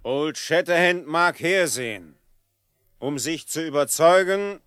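An actor playing a proud, cunning middle-aged chief in a radio drama, speaking solemnly and with haughty formality. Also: male voice, on the high side, lively intonation, takes it slowly, a bit bright, clean tone, no echo, studio quality. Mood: proud, scornful. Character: proud, cunning Comanche chief of about fifty. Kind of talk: German radio drama acting.

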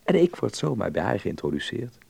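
An earnest young dreamer in a radio play. His voice sits low and mellow, with a husky grain. He sounds naive and curious, with a gentle teasing edge. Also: very animated, brisk, no echo, heavily acted. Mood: earnest, hopeful. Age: young adult. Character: earnest, naive young Dutchman abroad, aspiring actor.

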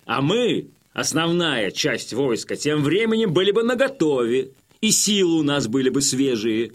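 Casting: wily Cossack leader, fairly high male voice, folksy measured oratory, audio drama. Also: big pitch swings. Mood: deferential, persuasive. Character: wily Cossack chieftain, feigning humility, addressing his warriors. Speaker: actor.

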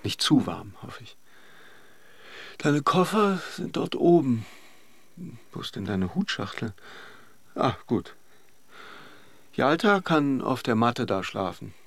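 An actor playing a wry, attentive lover in a German radio drama, understated and a little hesitant. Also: male voice, medium pitch, big pitch swings, slow pace, pauses often, no echo, heavily acted. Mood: caring, teasing. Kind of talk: German radio drama acting, with reflective narration.

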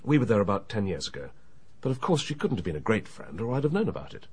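Lively, husky gentleman. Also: fairly low pitch, quick pace. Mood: dryly amused. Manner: urbane, dryly weary. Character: genial, dry, well-to-do English uncle, middle-aged or older. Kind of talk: radio drama acting.

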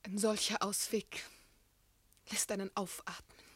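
A woman's voice: mid-range voice, little pitch movement, a little slow, fairly bright tone, slightly rough, dry recording, clean studio sound. An actress playing an earnest, devoted and defiant young woman in love, speaking intense literary lines in a classic radio play. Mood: defiant, wounded.

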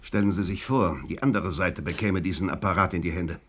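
An actor in a German radio play playing a curt, commanding and suspicious intelligence chief. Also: male voice, sits low, steady tone, brisk, background noise, natural voice. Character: brusque, commanding male secret service chief.

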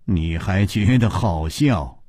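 Man acting a low-voiced, wry martial hero. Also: animated, warm tone, no echo, clean studio sound, heavily acted. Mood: wry, puzzled. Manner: low, measured, hesitant drawl. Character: calm, wry, world-weary martial arts hero.

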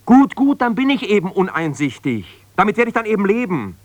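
An actor playing an embittered, self-righteous husband, a lawyer, reproachful and exasperated on one side of a phone call in a naturalistic radio play. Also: male voice, high-pitched, big pitch swings, a little fast, no echo. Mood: offended, sarcastic. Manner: defensive, reproachful, exasperated, insistent.

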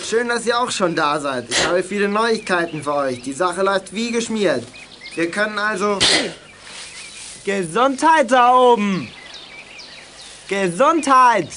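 A man's voice: sits high, expressive, unhurried, pauses often, noisy background. A young man playing a brash, mocking, bossy teenage bully in a German children's radio play. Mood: smug.

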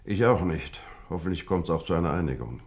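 An actor in a German radio play, playing a grave senior official with a measured, authoritative, pensive manner. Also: male voice, low-pitched, lively intonation. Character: grave senior British official addressing his aide.

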